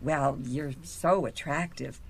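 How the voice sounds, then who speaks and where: A woman's voice: sits low, takes it slowly, vocal fry, a little hiss or hum, heavily acted. A woman playing a dramatic, touchy stage actress in a radio mystery drama, with a theatrical manner. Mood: gushing.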